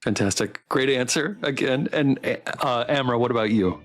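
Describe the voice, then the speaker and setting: A man's voice: medium pitch, normal speed, soft background music. A man hosting a casual livestream developer Q&A, interviewing guests in an encouraging, affirming way.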